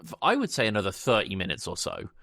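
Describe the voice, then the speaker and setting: A man's voice: sits low, huge pitch swings, fast talker, creaky, pushed and bright, no echo, studio quality. A man hosting a podcast, giving casual film commentary in a conversational way, rephrasing as he goes. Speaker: podcast host.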